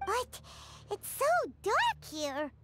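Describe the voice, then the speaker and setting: A woman voicing a small, excitable and indignant fairy companion in a video game. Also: extremely high pitch, extremely slow, heavily acted. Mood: dismayed. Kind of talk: game voice acting. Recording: music behind the voice.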